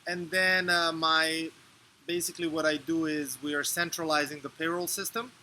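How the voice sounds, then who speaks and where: A man's voice: on the high side, fairly even intonation, sharp tone, a little hiss or hum. Serbian-born man answering interview questions. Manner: measured, explanatory, with frequent fillers and restarts. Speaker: interview guest.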